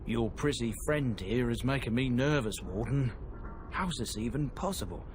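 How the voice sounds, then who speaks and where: A wry male companion, as an actor plays him, conversational and lively in pitch. His voice is mid-pitched. Clear background noise sits behind it in the game audio. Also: moderate pace, close to an everyday voice. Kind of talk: video game cut-scene dialogue.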